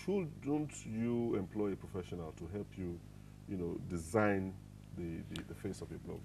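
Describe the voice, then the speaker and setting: A man with a Nigerian English accent, hosting a TV interview and asking questions haltingly, with many ums and repeated words. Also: deep voice, creaky, darkish tone, a little hiss or hum. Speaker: TV show host. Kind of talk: TV studio interview.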